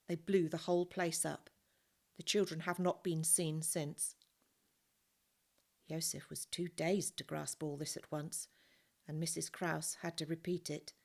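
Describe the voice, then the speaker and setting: A woman teacher reads a novel aloud in a calm, measured way. Her voice sits a little low, and her narration stays steady and unhurried. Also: creaky. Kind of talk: storybook reading.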